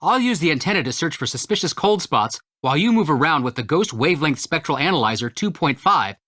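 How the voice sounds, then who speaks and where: A woman's voice: sits low, animated, a little fast, fairly bright tone, no room sound, studio quality. A woman playing an eager, optimistic amateur ghost hunter proud of homemade gadgets in a video game. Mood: earnest, optimistic.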